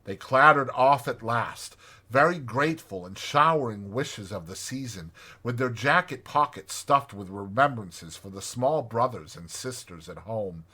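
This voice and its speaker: A man narrating a children's classic audiobook in an expressive, scripted storytelling style. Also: medium pitch, measured pace, breathy edge.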